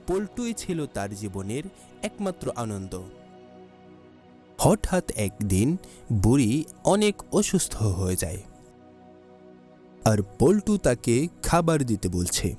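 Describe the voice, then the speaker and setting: Lively, mellow male storyteller. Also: mid-range voice. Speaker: storyteller, narrator. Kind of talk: scripted children's cartoon story narration.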